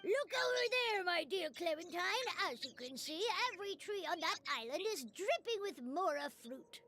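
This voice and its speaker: An actress playing a tiny, cheeky, excitable fairy companion in a video game, exclaiming in the third person over background music. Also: female voice, very high-pitched, normal speed, a crowd in the background. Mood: cheeky, impressed. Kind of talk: animated video game voice acting.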